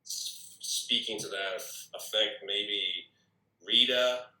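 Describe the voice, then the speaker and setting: Man, deep but very bright voice, hesitant and stammering, informal feedback, recorded in an echoey room. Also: fairly even intonation, slow pace. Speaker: script reader giving feedback. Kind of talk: casual critique discussion. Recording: roomy echo, distant from mic.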